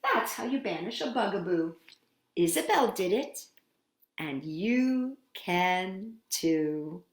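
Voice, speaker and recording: Slow, sing-song woman reading to kids. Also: medium pitch. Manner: expressive, sing-song storytelling with dramatic pauses. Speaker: children's storytime host. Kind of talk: reading a picture book aloud to children. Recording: roomy echo.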